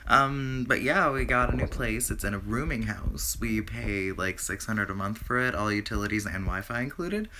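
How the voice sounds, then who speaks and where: A man whose voice is raspy from a recent flu, rambling through a casual video update with lots of fillers and restarts. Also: on the low side, normal speed, few pauses, noisy background. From Canada. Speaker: vlogger.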